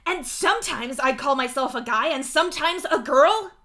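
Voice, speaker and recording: A woman voicing an angry, exasperated young male troll in a story, swearing and stumbling through yelled outbursts. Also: sits high, animated, moderate pace, voice pushed up. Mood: insistent, exasperated.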